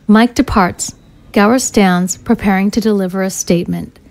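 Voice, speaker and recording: A woman's voice: medium pitch, moderate pace, creaky, darkish tone. Woman reading screenplay scene directions, faint noise. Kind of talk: scripted screenplay narration.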